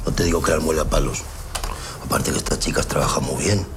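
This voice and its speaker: Sinister pimp, Castilla y León accent, gritty and blunt, extremely low voice, noisy drama clip. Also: male voice, steady tone, quick pace. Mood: menacing. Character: sinister rough-edged male pimp, blunt and menacing. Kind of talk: TV drama acting.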